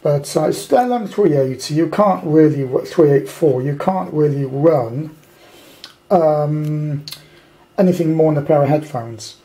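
A man's voice: fairly high pitch, unhurried, warm tone, low-quality recording. An older British man giving a casual, unscripted show-and-tell as a hobbyist, rambling along and grumbling bluntly. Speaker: amateur radio hobbyist presenting a kit. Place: indoors at a tabletop at home.

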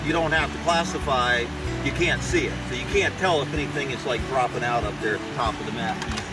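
A middle-aged man casually narrating his gold prospecting on a riverside gravel bar, relaxed and chuckling as he works. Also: mid-range voice, quick pace, over loud music, poor audio.

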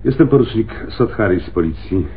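A wry, probing police lieutenant in a radio crime play. He talks conversationally, with drawled interjections and lively swings of pitch. The recording is muffled and narrow. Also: fairly quick, slight background noise. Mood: grave, measured. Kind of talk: radio crime drama acting.

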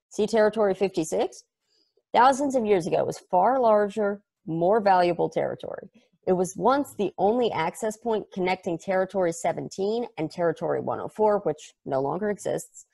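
A man reading an excitable, chatty space probe in a table read over a video call, rambling off into tangents. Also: high-pitched, vocal fry, full character voice. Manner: enthusiastic, rambling, tangent-prone.

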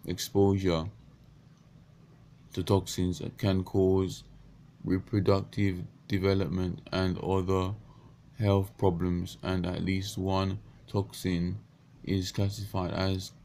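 A man speaking into a close webcam in a small bedroom, his voice deep and gravelly with morning croak. He talks in a flat, dark tone, stumbling and restarting his sentences often. A faint hiss sits under the recording. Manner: halting, many restarts and stumbles. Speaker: vlogger. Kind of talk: unscripted casual monologue. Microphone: webcam at close range.

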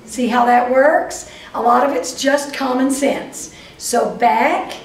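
An older woman with a Texas accent giving a lively, chatty lecture to a live audience, speaking straight to them. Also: high voice, slow, distant mic. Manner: lively, chatty, addresses audience directly, acts out voices of dreams. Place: meeting room before a whiteboard. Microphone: far camera. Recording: roomy echo, voice from afar.

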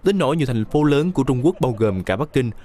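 A man reading scripted news voice-over in a brisk, even newsreading manner. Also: medium pitch. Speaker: news reporter.

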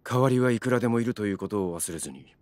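Stage director played by a man: male, stern, commanding, very animated delivery, audio drama. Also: fairly low pitch, very fast, no room sound, lightly acted. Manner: commanding, uncompromising. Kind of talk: Japanese audio drama acting.

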